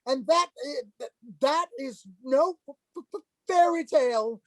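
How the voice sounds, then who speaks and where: Lady acting a scared zealot priest, high slow voice, stammery n preachy, stage play. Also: dry recording, low-quality recording. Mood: indignant. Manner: stammering, declamatory. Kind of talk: livestreamed stage play reading.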